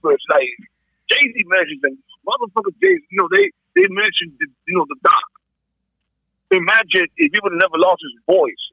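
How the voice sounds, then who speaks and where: Very high, animated, creaky-voiced man. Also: moderate pace, no echo. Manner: animated, excitable, slangy. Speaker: podcast guest. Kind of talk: casual hip-hop debate. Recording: lo-fi phone-like call.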